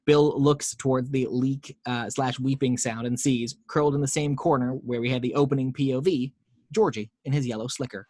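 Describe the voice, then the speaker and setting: A man's voice: mid-range voice, steady tone, moderate pace, darkish tone, no echo, clean studio sound. A man narrating screenplay action and scene directions as the reader in a scripted table read.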